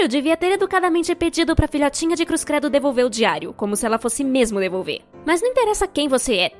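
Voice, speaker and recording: A Brazilian woman with a high-pitched voice tells a scripted storytime tale. Her delivery is expressive and theatrical with a sarcastic edge, and faint music plays underneath. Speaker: narrator. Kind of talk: scripted animated storytime narration, fan dub.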